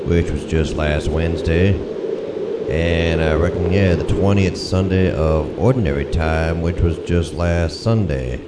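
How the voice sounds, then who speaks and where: Slow, deep-voiced folksy man. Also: very noisy. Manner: folksy, easygoing talk. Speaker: host of a church music show. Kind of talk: casual spoken rundown of liturgical music.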